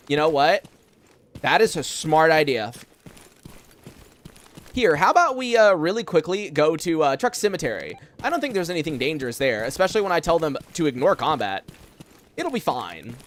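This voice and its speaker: A man's voice: fairly high pitch, sing-song, normal speed. Rambling young man chatting while gaming. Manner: rambling, filler-heavy, chatty. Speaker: streamer. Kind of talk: casual live gameplay chat. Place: room at home.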